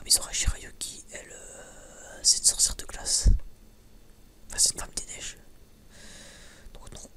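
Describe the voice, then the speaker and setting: Whispering man, hesitant ASMR ramble. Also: pushed and bright. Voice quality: breathy, unvoiced whisper. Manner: hesitant and rambling, many false starts. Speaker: ASMR video creator. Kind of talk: whispered ASMR review, casual and unscripted.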